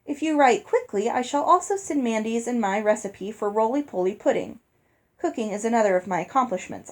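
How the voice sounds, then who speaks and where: A polite, curious young girl as a woman reads her, composing a letter in a storybook. Her voice is fairly high and courteous in its wording. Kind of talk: storybook letter read aloud.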